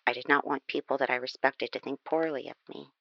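A woman's voice: very deep voice, steady tone, fairly quick, gravelly, dry recording, highs cut off, exaggerated voice. An actress reading a confessional letter as an apologetic, confiding convicted woman in a dramatic audio reading. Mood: confessional, explaining.

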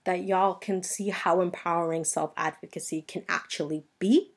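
A woman in her twenties whose first language is Spanish hosts her own podcast. She tells personal stories in an earnest way and often speaks straight to her listeners. Her voice sits a little low. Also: steady tone, normal speed, husky. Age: 20s. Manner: earnest personal storytelling, addresses listeners directly. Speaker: podcast host. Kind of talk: solo podcast monologue.

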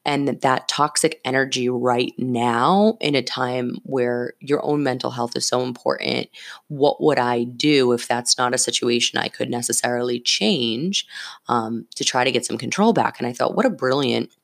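A woman's voice: rumbling low, moderate pace, gravelly. A woman hosting a solo podcast in a casual, candid, emphatic way, often checking in with rhetorical questions, with a slightly scratchy voice. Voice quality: slightly scratchy early-morning voice. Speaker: podcast host.

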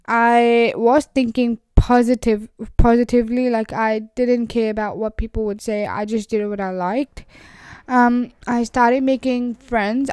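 A young woman with an Urdu accent talks on her own podcast in a loose, rambling way, leaning on "like" and restarting her sentences. Her voice sits a little high and sounds smooth and clear. The recording is close and dry, with no room sound. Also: darkish tone, dry recording. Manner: rambling, frequent "like" fillers and restarts. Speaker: podcast host. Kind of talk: casual solo podcast monologue.

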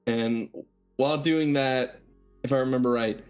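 A man with an average-pitched voice speaks as a player in a tabletop game. He recounts his character's deeds in deliberate, measured phrases. There is faint music behind him. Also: fairly even intonation, low-quality recording.

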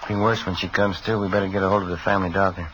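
Man acting a quick, low-voiced American police partner. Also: little pitch movement, close to an everyday voice. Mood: grave. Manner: terse, understated. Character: glum, plain-spoken male police detective partner. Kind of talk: 1950s radio police drama. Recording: dull, noisy old radio broadcast.

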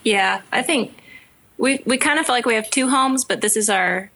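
A woman from Utah, a podcast guest, chatting in a relaxed, wry way. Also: on the high side, moderate pace, slight background noise. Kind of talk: casual interview chat.

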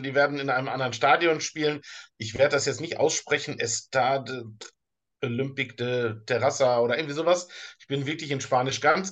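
German male podcast co-host, easygoing and halting, mid-pitched voice. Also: fairly bright tone. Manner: hesitant, many fillers and restarts, slow in places. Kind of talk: casual podcast chat.

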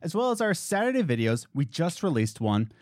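A male podcast co-host in casual chat who shifts between a low relaxed voice and loud, high bursts when excited. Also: a little fast, no room sound, clean studio sound. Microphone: studio podcast mic.

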